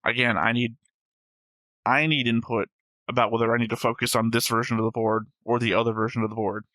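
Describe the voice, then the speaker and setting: A man's voice: medium pitch, level tone, brisk, bright, forceful tone, dry recording. A man co-hosting a reaction show, talking casually and analytically through an anime episode in an explanatory way.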